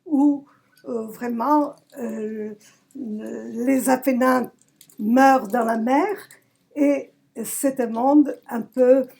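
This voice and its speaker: A woman from southern Italy, a poet giving a reflective talk about her work, searching for words with emphatic pauses. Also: fairly high pitch, steady tone, gravelly, mellow, husky. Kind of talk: reflective talk at a poetry recital. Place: public library event.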